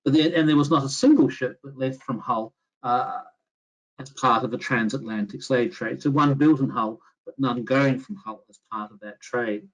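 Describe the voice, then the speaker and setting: Professor with a mixed antipodean-transatlantic accent. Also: male voice, medium pitch, dull audio. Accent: mixed New Zealand, Australian, English and American. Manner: measured, with frequent "uh" fillers and self-corrections. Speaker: history professor giving a webinar talk. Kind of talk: academic lecture.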